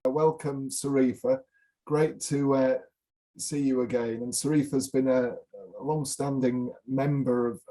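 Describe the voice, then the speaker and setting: A man hosting an event, giving an informal introduction in a polite, hesitant and halting way. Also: mid-range voice, warm tone, rough recording. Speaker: event host.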